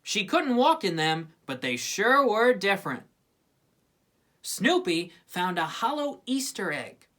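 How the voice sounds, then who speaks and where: A woman narrating a children's storybook in an expressive scripted reading style. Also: medium pitch, slow pace. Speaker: storybook reader.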